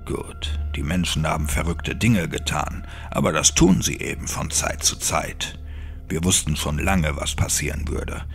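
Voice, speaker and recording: A man voicing a nameless, resigned monk in a horror audiobook, with a dark, hushed, breathy voice and a slow, grave, fatalistic manner. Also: sits low. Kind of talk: dramatic audiobook character acting.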